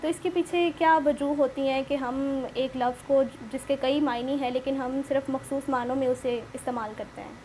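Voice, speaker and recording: A woman's voice: high voice, steady tone, normal speed, hardly any pauses, darkish tone. A woman hosting a discussion in formal, literary phrasing. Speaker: show host. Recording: clearly noisy room recording.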